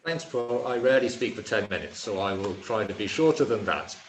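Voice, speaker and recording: A man giving a relaxed, conversational speech at a conference. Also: lowish voice, brisk, slight background noise. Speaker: conference speaker. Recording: echoey room.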